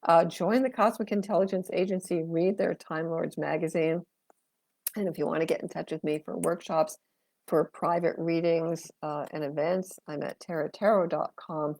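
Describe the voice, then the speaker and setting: A woman's voice: lowish voice, fairly even intonation, normal speed, gravelly, a little mellow, no echo. A middle-aged woman reading tarot cards on a live stream. She talks in a chatty, rambling way with many fillers and answers viewers' comments, and her voice is going. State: losing her voice. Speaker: tarot reader and astrologer.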